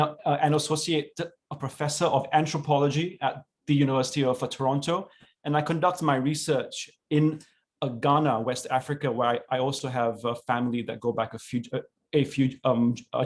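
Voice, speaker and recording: A middle-aged man, a professor, hosting an online panel over a video call. He talks in a relaxed, halting way with many fillers. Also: medium pitch. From born in South India, raised in Singapore.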